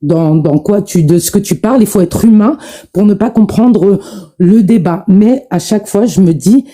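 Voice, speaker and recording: A woman's voice: medium pitch, moderate pace, dark tone. A woman in her forties, out of breath from exercising, arguing insistently over a phone call on a live stream, with repeated restarts. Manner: insistent, repetitive, stumbling restarts. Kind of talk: heated debate monologue.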